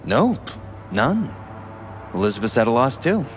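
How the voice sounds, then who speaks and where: An actor playing a polished but troubled middle-aged politician in a video game, speaking in a measured, courteous, earnest way. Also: male voice, medium pitch, sing-song. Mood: puzzled, uneasy. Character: polished, troubled male politician confiding in an investigator. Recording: music behind.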